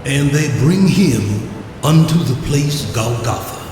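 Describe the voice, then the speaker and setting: An actor playing a zealous, righteous reverend in an audio drama, composed and solemn. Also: male voice, medium pitch, expressive, takes it slowly, darkish tone, a little hiss or hum. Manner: solemn, preacherly.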